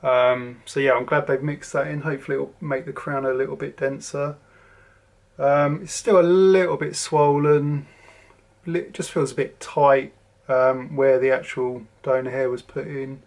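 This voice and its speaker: A man's voice: mid-range voice, flat delivery, measured pace, a little mellow. A man in his forties from southern England with a southern English accent, giving a casual, rambling to-camera vlog update with lots of ums and restarts. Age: 40s. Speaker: vlogger.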